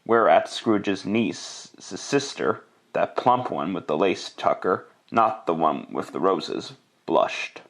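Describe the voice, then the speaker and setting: A man's voice: low-pitched, lively intonation, takes it slowly, vocal fry, hardly any pauses, a bit bright. A man narrating a literary story in classic radio style, with a theatrical, relishing delivery.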